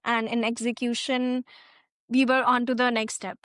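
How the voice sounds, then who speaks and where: A woman's voice: on the high side, level tone, normal speed, a bit bright, smooth, dry recording, studio quality. A woman hosting a podcast, asking questions and responding in a conversational way. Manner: conversational, uses "like" often. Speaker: podcast host. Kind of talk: interview questions.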